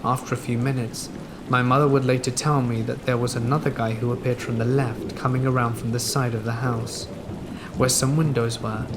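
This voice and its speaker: A man narrating listener-submitted true scary stories in a steady, even reading. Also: medium pitch, noisy background. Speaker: horror story narrator.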